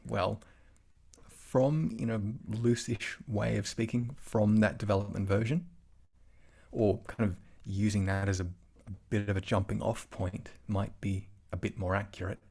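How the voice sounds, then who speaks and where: A man's voice: on the low side, fairly even intonation, unhurried, creaky, darkish tone, slightly rough. An Australian man giving an online tech talk over a video call, chatty, with self-deprecating asides. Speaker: conference speaker.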